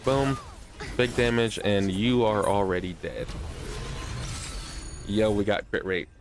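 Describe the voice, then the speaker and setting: A man's voice: lowish voice, slow pace, slight background noise. A man streaming a video game with casual, chatty commentary, playful slang and a self-deprecating streak. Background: music.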